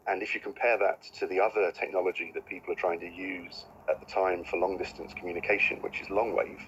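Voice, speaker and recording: A man's voice: low voice, fairly bright tone, slightly rough, muffled recording. A man giving an informal explanatory talk as an expert guest.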